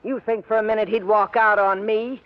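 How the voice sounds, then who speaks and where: An actress playing a blunt, suspicious working-class wife in a 1950s radio drama, curt and combative with rough grammar. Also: female voice, mid-range voice, steady tone, moderate pace, natural voice. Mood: irritated, blunt. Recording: dull vintage radio recording.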